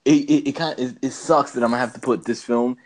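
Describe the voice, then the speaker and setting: A man's voice: medium pitch, steady tone, fast talker. A man co-hosting a podcast, chatting casually in a slangy, rambling, jokey way. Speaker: podcast co-host. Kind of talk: casual chat about movies.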